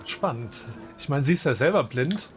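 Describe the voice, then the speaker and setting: A man's voice: medium pitch, expressive, moderate pace. A German-speaking man reviewing a game in casual play-along commentary. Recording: loud game music behind.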